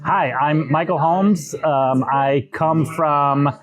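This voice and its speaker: A man organizing a workshop, talking to the group in a relaxed way with lots of ums and uhs. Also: on the high side, takes it slowly. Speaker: event organizer. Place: indoor workshop room. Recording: echoey room with crowd chatter.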